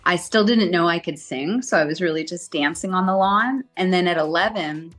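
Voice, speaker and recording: Woman, 40s, interview guest; slightly low voice, chatty and animated storyteller. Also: moderate pace, faint music behind. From Southern California. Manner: chatty, animated, acts out quotes.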